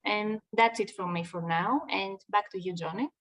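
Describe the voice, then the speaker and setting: A woman's voice: medium pitch, moderate pace, a bit bright. Greek-accented woman, distant mic. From Greece. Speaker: consultant, panelist. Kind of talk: self-introduction on a webinar.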